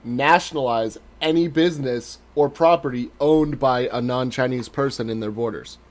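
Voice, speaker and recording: A man's voice: medium pitch, normal speed. A man hosting a casual podcast chat, joking sarcastically and swearing freely. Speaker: podcast host.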